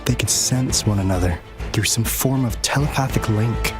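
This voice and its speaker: A man playing a composed field researcher in a video game, explaining things in a measured way. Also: fairly low pitch, music in the background, natural voice. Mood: earnest, urgent. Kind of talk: video game story dialogue.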